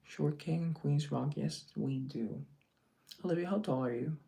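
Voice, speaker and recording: A man chats casually on a live stream, answering viewer comments with playful teasing and quick jokes. His voice sits somewhat high for a man and carries a gravelly, creaky edge. It is picked up on a phone mic. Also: dark tone, poor audio. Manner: teasing, joking, chatty.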